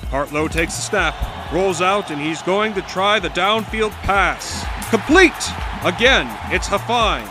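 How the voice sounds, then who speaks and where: High-pitched 1920s radio football announcer. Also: male voice, animated, slow pace, music in the background. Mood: thrilled, shouting. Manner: florid, dramatic phrasing. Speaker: play-by-play announcer. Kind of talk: 1920s radio sports commentary.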